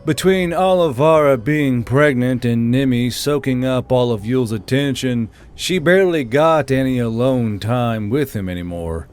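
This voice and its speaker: Mid-pitched male story narrator. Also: expressive, normal speed, hardly any pauses, a little mellow, smooth, faint music behind.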